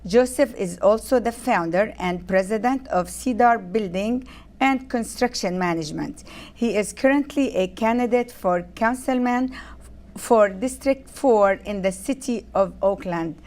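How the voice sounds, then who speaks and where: A woman's voice: medium pitch, measured pace, a little mellow. Woman host reading a formal introduction. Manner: reads formal intro, then brief encouraging prompts. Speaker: talk show host. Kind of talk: TV interview hosting.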